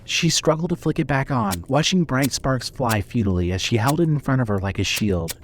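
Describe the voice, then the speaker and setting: A man's voice: mid-range voice, lively intonation, fairly quick. A man reading scripted material as the narrator and announcer of a fiction podcast.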